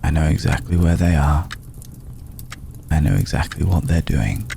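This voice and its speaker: A man playing an ancient, aristocratic vampire in an ASMR roleplay, formal, hushed and gravelly, protective and vengeful, with deliberate pauses. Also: extremely low pitch, expressive, a little hiss or hum, heavily acted. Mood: cold, vengeful. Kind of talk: ASMR roleplay, slow intimate monologue.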